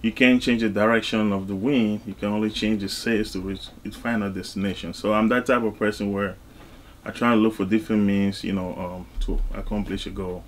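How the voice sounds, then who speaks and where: Low-voiced man, noisy background. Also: steady tone, a little fast. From Cameroon. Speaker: interview guest, music artist and label executive. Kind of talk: casual interview talk.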